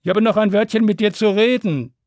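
A man's voice: high voice, a little fast, a little mellow, no room sound, studio quality, voice pushed up, lightly acted. A man playing a crafty peasant who bluffs boldly in a fairy tale, firm and challenging. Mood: stern, demanding.

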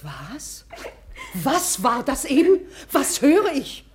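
Excitable middle-aged matriarch, highish swooping voice, imperious, classic radio drama. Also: female voice, takes it slowly, crowd noise, heavily acted. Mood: bewildered, alarmed. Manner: imperious, exclamatory. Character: domineering, excitable mother of grown daughters. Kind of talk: German radio drama acting.

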